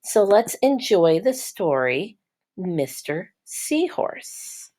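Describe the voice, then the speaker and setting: A woman teacher narrating a children's storybook in a measured, explanatory way for young listeners. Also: medium pitch, big pitch swings, warm tone. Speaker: teacher reading aloud.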